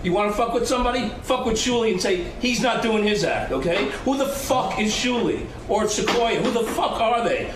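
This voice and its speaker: A man's voice: sits high, lively intonation, a little fast, hardly any pauses, far from the mic. An angry man arguing bluntly and repetitively with heavy swearing, recorded backstage. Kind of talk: heated backstage argument. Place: backstage at a live show. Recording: noisy, echoey backstage recording, off-mic.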